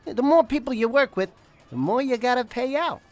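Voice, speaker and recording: A woman's voice: on the high side, lively intonation, brisk, a little mellow, soft background music, natural voice. A woman playing a breezy, streetwise businessman in a 1920s-style radio drama, slangy and wisecracking, friendly but guarded. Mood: cagey, joking.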